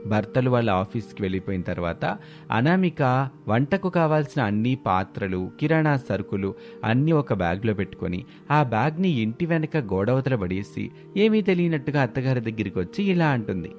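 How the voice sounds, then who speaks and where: A man works as narrator of a scripted moral tale. His voice sits at a middle pitch, and music plays behind the narration. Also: very animated, normal speed, a little mellow.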